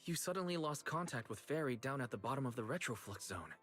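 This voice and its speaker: An earnest brother from an anime-style video game, acted by a man, protective and articulate. His voice sits at a middle pitch, with soft music underneath. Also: expressive, fast talker, natural voice. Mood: tense, worried. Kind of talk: game dialogue voice acting. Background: game music faintly behind.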